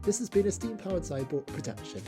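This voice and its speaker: A woman's voice: medium pitch, very animated, quick pace. A woman reading a scripted children's story as its narrator. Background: music.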